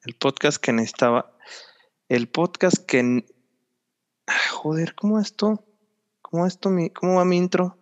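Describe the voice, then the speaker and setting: A man's voice: a little high, lively intonation, a little slow, pauses often, dull audio. A man with a Mexican accent hosting a podcast in a chatty, rambling way, drawing out words for emphasis. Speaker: podcast host. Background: background music.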